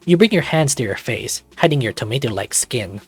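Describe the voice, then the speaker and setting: A man's voice: mid-range voice, animated, a little fast, breathy edge, soft background music. A man narrating a scripted second-person story as a visual novel reader.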